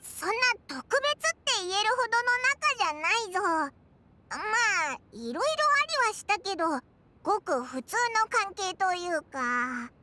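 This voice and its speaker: A little fairy sidekick in an anime-style game. The voice is piercingly high, bright and squeaky, with lively swoops. It chatters bluntly and excitably like a cheeky child, over faint music. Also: female voice, moderate pace, full character voice. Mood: puzzled, excitable.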